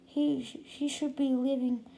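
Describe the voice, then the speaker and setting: A storybook reader voicing a puzzled, excitable schoolboy with an emphatic, questioning way of talking. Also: sits high, slow pace, creaky, noisy background, heavily acted. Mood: realizing.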